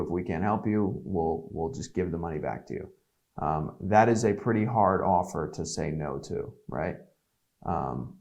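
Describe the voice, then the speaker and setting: A man's voice: low-pitched, a little mellow. A man in his twenties, a guest giving blunt, candid business advice in a casual podcast chat. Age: 20s. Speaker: podcast guest, agency CEO.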